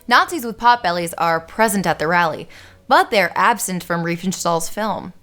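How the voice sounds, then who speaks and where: A young woman narrates a film essay from a script, her pitch rising and falling in a lively way. She slips in dry, sarcastic jabs between points, and faint music plays under her voice. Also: moderate pace. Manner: wry, sarcastic asides. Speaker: video essay host.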